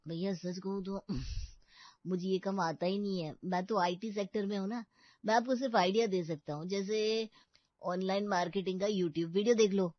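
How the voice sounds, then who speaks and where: A woman's voice: medium pitch, steady tone, normal speed, no echo, highs cut off, natural voice. A woman voicing a breezy, unhelpful young male IT worker in a story cartoon. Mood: breezy, evasive.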